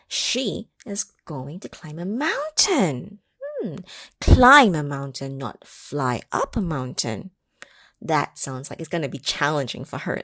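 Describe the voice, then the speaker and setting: Breathy woman with wildly lively pitch. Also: no room sound. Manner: animated, asks the listening children questions; drops into whispers for effect. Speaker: children's story reader. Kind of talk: read-aloud storytime for children.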